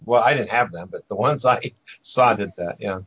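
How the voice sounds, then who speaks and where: A man's voice: lowish voice, moderate pace, fairly bright tone, slightly rough, highs cut off. A man on a casual group call, chiming in with friendly asides. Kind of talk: casual chat.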